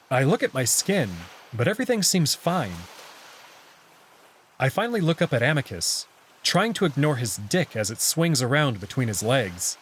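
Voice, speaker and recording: A man with a husky voice narrating a first-person visual novel story expressively. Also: mid-range voice, a little hiss or hum.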